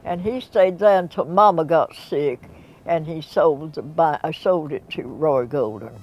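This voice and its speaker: A woman over a hundred years old, telling school memories with lively humor. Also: low voice, unhurried. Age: 100s. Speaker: interviewee. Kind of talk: oral history reminiscence.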